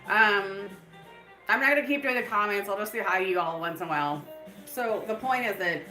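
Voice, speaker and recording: A woman's voice: medium pitch, brisk, sharp tone, reverberant, faint music behind, poor audio. A woman hosting a live stream, talking in a loose, rambling way full of fillers. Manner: breaks into laughter. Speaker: livestream host.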